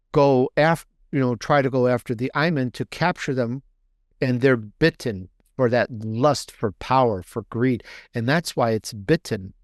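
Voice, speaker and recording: A man's voice: medium pitch, darkish tone, no echo, clean studio sound. A man speaking as an interview guest in a casual, rambling storytelling way, often rephrasing himself mid-thought. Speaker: podcaster and author guest.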